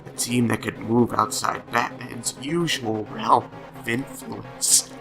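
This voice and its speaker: Gravelly man reading comics theatrically. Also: mid-range voice, steady tone, takes it slowly. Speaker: channel host reading comics. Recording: background music under the voice.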